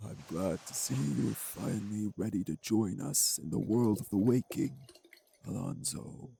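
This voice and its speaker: A deep, heavily creaky male vampire, voiced by an actor for an audio roleplay. He is urbane and menacing, choosing his formal words slowly and with condescension, over music. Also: dark tone, a little hiss or hum. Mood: calm menace. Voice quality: gravelly, low. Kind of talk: audio roleplay drama acting.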